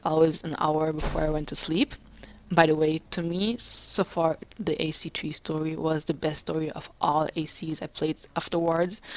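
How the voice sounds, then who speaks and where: Low-voiced young woman, soft and halting. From Jamaica. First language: English. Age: 20s. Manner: soft-spoken, halting, quiet and calm. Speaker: gamer. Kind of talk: personal commentary.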